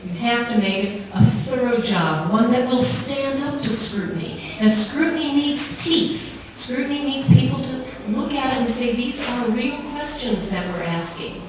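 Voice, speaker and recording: A woman speaking earnestly and emphatically in a measured way, addressing a council committee as a campaigner in a public meeting. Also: fairly high pitch, animated. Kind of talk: prepared public speech and answers to questions. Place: large town hall meeting room. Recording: very echoey hall, distant mic, clear background noise.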